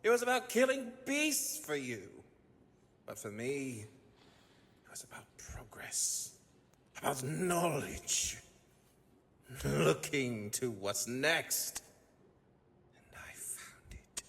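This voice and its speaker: An actor playing a gloating, obsessive mad scientist in a gothic audio drama, theatrical, taunting and sneering. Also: male voice, mid-range voice, big pitch swings, slow, vocal fry, pauses often, a bit bright, heavily acted. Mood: scornful, zealous. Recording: long hall-like reverb.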